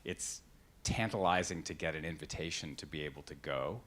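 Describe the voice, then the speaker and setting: A man with a very low voice moderates a panel, thinking aloud as he poses his question. His tone is bright and forceful despite the depth. He speaks haltingly, working the question out as he goes. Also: slight background noise. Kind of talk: panel discussion at grand rounds.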